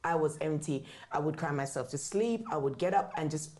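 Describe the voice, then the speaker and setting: A woman's voice: low-pitched, fairly quick, a little mellow. A woman from the UK, raised in Jamaica, speaking candidly as an interview guest in a conversational storytelling style. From born in the UK, raised in Jamaica. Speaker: interview guest, author and book writing mentor.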